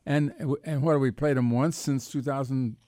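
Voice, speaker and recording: Older man, American accent, dark mellow voice, dry studio sound, rambling casual sports talk. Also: medium pitch, steady tone, normal speed, dry recording. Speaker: sports columnist and radio co-host.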